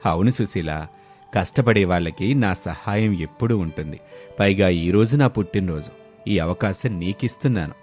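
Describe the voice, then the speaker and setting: Man voicing a low-pitched kindly village benefactor. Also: normal speed, a little mellow, soft background music, close to an everyday voice. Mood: kindly, encouraging. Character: kindly village benefactor offering a poor woman help. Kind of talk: animated moral story dialogue.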